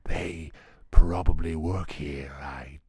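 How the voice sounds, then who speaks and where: A vain king cobra from a storybook. The voice is extremely low and breathy, with a raspy hiss. It drawls very slowly and languidly, sly and full of itself, as if deep in a trance. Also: steady tone, a little mellow, dry recording, studio quality, exaggerated voice. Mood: indifferent, disdainful. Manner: languid, drawn-out, self-admiring. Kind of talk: storybook character voice.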